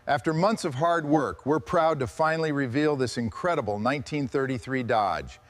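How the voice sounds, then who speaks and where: A man's voice: mid-range voice, moderate pace. A man hosting a restoration video, giving hands-on workshop commentary.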